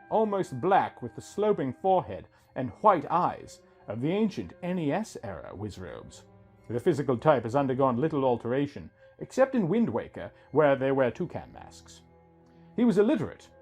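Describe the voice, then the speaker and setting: A man's voice: fairly high pitch, a little mellow. A man reading a scripted first-person story as its narrator, with music behind him.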